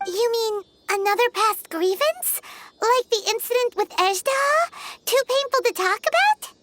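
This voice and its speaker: A woman voicing a small, childlike, excitable fairy companion in a video game, nosy and expressive, with a squeaky, pleading delivery. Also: measured pace, slight background noise, heavily acted. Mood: indignant, pleading. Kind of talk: scripted video game character acting.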